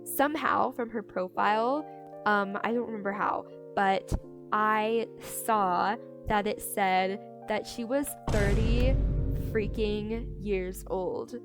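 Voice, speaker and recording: A woman's voice: medium pitch, takes it slowly. A young adult woman telling a casual storytime, candid and chatty, with lots of "like" and incredulous emphasis. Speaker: storytime creator. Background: background music.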